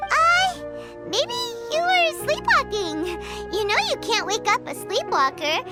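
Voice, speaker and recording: A woman voicing a tiny childlike fairy companion in a video game, perky and chatty, with a squeaky, exclamatory delivery. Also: big pitch swings, a little slow, loud music behind the voice, heavily acted. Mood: perky, helpful. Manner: squeaky, exclamatory, refers to self in third person. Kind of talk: scripted video game character dialogue.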